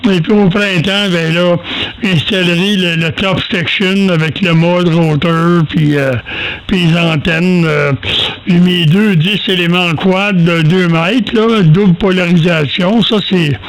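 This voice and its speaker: An older man with a Quebec French accent, chatting casually over amateur radio in a halting, folksy, self-deprecating way, telling rambling anecdotes. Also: sits high, steady tone, poor audio.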